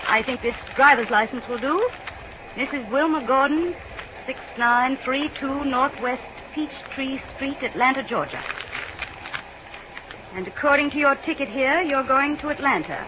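Noisy dull old radio recording, cunning woman spy, highish voice, controlled menace. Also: measured pace. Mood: officious. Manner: controlled, menacing, crisp orders. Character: cool, commanding, cunning female spy villain. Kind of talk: old radio drama acting.

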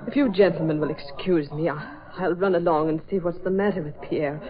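An actress playing a gracious, gentle hostess with a polite manner, in a vintage radio drama. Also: female voice, on the low side, brisk, crowd noise, natural voice. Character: gracious kindly woman hostess. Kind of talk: 1940s radio acting. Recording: dull old radio recording.

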